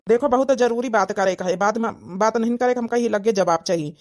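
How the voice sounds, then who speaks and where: A woman's voice: a little high, a little fast, darkish tone, natural voice. A woman playing a wounded, pressing young village suitor in a cartoon drama, pleading insistently with an Awadhi accent. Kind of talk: cartoon comedy-drama dialogue in village dialect.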